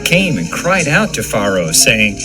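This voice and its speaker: Male narrator, fairly low pitch, lively intonation, scripted scripture reading, music underneath. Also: measured pace.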